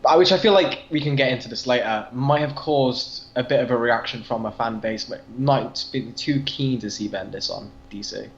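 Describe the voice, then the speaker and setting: A man's voice: mid-range voice, moderate pace, few pauses, roomy sound, lo-fi sound, slight background noise. A British man speaking as a podcast guest in casual, opinionated discussion. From Britain. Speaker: podcast guest and comic book fan.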